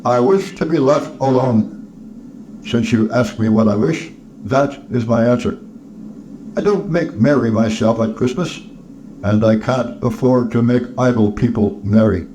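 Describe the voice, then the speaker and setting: A man's voice: mid-range voice, unhurried, darkish tone, lightly acted, poor audio. A man voicing a sour, miserly old businessman in a storybook, curt, stern and sneering. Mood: cold, dismissive.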